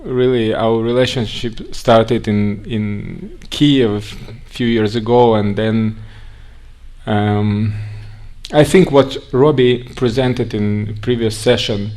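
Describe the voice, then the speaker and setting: A man with a Russian accent, raised in Siberia, giving a conference talk in a halting way with frequent restarts. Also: fairly low pitch, fairly even intonation, darkish tone. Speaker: guest speaker.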